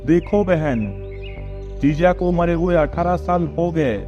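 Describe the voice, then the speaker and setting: Male actor as cartoon village uncle, high pitch, greedy, scheming, smug, dull lo-fi sound with music. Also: fairly even intonation, slow pace, mellow. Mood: demanding. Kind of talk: cartoon moral story dialogue. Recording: dull lo-fi audio with music behind.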